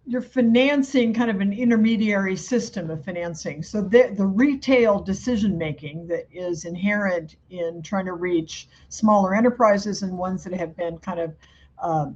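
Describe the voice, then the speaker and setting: A woman moderating a panel discussion, asking quick, informal questions with lots of "kind of" and "like". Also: medium pitch, darkish tone, dull audio.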